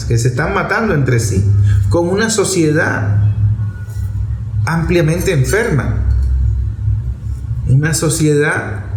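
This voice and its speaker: High-voiced man, echoey political commentary. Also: darkish tone, slight background noise. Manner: emphatic, didactic, repeats phrases for effect. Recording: echoey room with music underneath. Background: music.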